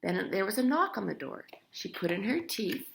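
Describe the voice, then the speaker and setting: A woman's voice: medium pitch, very animated, moderate pace, creaky, slight background noise, rough recording. A woman reading a children's story aloud in a theatrical, emphatic way, with chatty asides to the listener. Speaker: storybook reader.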